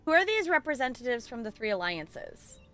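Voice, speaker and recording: Bright, animated woman game streamer. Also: fairly high pitch, a little fast, faint music behind. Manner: expressive, playful. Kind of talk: live game commentary, reading dialogue choices aloud.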